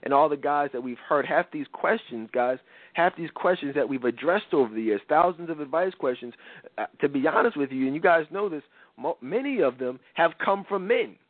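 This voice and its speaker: A man's voice: on the high side, expressive, a little fast, dry recording. A congested male host talks loosely, restarting his sentences and filling gaps with "you know." His voice is thin and phone-like. The sound is dry, with no room around it. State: congested from a cold. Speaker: podcast host. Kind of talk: casual talk show chat.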